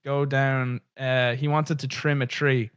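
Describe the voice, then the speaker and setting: A man with a Dublin Irish accent, a podcast guest telling lively stories in casual chat and swearing freely. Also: medium pitch, a little slow, clear, resonant tone, no room sound, clean studio sound. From Dublin, Ireland. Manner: animated storyteller, swears freely.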